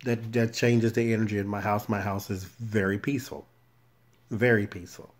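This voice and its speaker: Man, low-ish voice, very soft and muffled, never raises it, casual home phone recording. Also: steady tone. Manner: quiet, unhurried, never raises his voice. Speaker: tarot reader and channel host. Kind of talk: casual vlog chat to viewers. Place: shared room at home. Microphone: cell phone camera.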